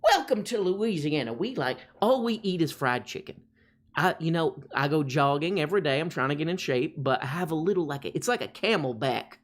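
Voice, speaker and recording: A man's voice: a little high, lively intonation, fairly quick, natural voice. A man voicing an over-the-top Southern man who boasts about eating fried food, in a drawling, hammy comic impression on a livestream.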